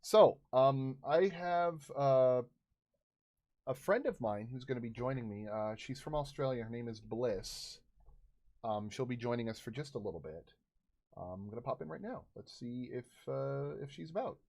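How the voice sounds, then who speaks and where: A man's voice: medium pitch, expressive, normal speed, vocal fry, darkish tone. A man in his thirties chatting casually as a livestream host, hesitant and apologetic in manner. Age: 30s.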